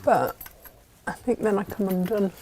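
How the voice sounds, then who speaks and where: A woman's voice: medium pitch, normal speed, warm tone, slight background noise, low-quality recording. A woman hosting a live craft show in casual chat, chatty and jokey, easily sidetracked.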